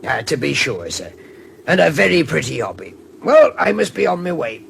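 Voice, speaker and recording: An actor playing a deferential, observant country working man with a gravelly, folksy voice in a radio drama. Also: a little high, very animated, fairly bright tone, a little hiss or hum, exaggerated voice. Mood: amiable, shrewd. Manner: folksy, respectful, unhurried. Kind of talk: British radio drama acting.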